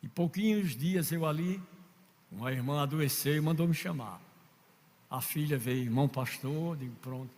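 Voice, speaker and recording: A man preaching a sermon from Northeast Brazil, telling stories, acting out quoted voices and building to shouts. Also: on the high side, moderate pace. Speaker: pastor. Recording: long reverb of a large hall.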